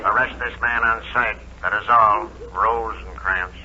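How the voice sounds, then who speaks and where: An actor playing a police dispatcher reading a bulletin in a deadpan official monotone for a 1940s radio comedy. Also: male voice, lowish voice, a little slow, a crowd in the background, natural voice. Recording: muffled, noisy 1940s radio recording.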